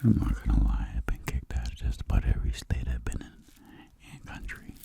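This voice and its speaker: A man voicing a boastful, roguish tingle-dealing world traveler in a whispered ASMR roleplay, telling rambling deadpan tales in a breathy, gravelly voice. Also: extremely low pitch, normal speed, full character voice. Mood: boastful, playful.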